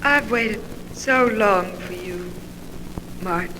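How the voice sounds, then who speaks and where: Slow, bright-voiced ghostly seductress. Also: female voice, mid-range voice, slight background noise, poor audio. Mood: longing. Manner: slow, deliberate, hypnotic phrasing. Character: seductive female ghost of a beautiful woman. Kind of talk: old-time radio drama acting.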